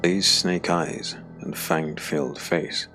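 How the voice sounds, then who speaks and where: A man narrating a dark fantasy audiobook drama in measured, weighted phrases. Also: deep voice, steady tone. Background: background music.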